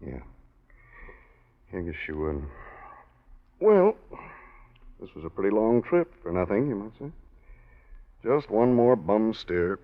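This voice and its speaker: A laconic american private eye, really low voice, casual and kindly, muffled old 40s radio show. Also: male voice, very animated, takes it slowly, pauses often. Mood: matter-of-fact. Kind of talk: 1940s radio acting. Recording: dull 1940s radio recording.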